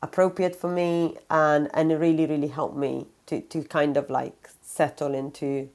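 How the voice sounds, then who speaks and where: A low-voiced woman in her thirties with an Italian accent speaks as a guest in an interview. She is a native Italian speaker, explaining personal experience in an explanatory conversational style. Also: level tone, normal speed, darkish tone. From Italy. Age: 30s.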